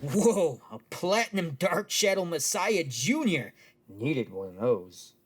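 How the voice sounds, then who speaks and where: A man's voice: a little high, big pitch swings, takes it slowly, noisy background. A man playing a brash, nerdy card-collecting hustler with a mind-reading trade, haggling in a businesslike way in an audio drama. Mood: unbothered, haggling.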